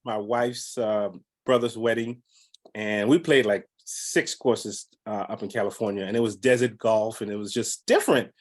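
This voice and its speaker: A man's voice: on the low side, steady tone, moderate pace. A man from the Bahamas, a realtor and podcast guest, talking casually in an upbeat, rambling way with many fillers. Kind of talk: casual interview talk.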